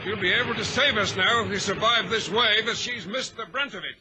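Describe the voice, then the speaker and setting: Ship's captain , played by a male actor: grave, formal, commanding, fairly high-pitched, vintage radio drama. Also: fairly quick, background noise, natural voice. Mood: relieved. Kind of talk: old-time radio drama acting.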